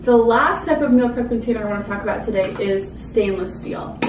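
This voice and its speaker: A woman's voice: on the high side, fairly quick. A young adult woman giving a casual, chatty product review to camera in an informal way. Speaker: food vlogger reviewing products. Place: small indoor room, seated at a table. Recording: roomy, distant mic with clear background noise.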